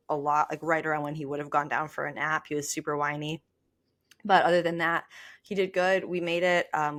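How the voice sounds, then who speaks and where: A woman's voice: sits low, a bit bright. A young adult woman talking casually and conversationally as a podcast co-host.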